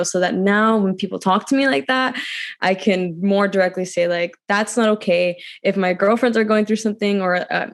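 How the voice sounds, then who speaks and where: A young woman speaking earnestly on a video-call student panel, with many "like" fillers. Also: medium pitch. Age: young adult. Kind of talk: casual panel talk.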